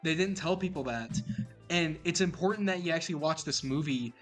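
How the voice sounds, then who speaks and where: A man co-hosting a casual gaming podcast, talking in an animated, joking way. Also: on the high side, a little fast, soft background music. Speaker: podcast co-host.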